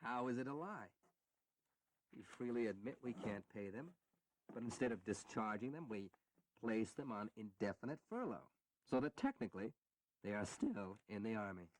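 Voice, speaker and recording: An actor playing a pragmatic young congressman who explains in a measured, reasoned way in a historical audio drama. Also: lowish voice, very animated, a little hiss or hum, low-quality recording. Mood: resigned, reasoning.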